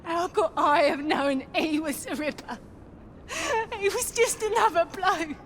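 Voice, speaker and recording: An actress playing an excitable working-class Victorian woman in a game. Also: very high-pitched, a little slow, slight background noise. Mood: distraught. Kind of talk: cinematic game acting.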